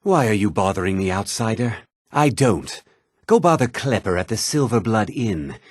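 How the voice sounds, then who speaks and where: An actor playing a haughty, put-upon fantasy townsman in a video game, husky, theatrical and often sarcastic. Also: on the low side, very animated, normal speed, darkish tone, no room sound, studio quality. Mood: scornful, irritable. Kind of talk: video game character dialogue.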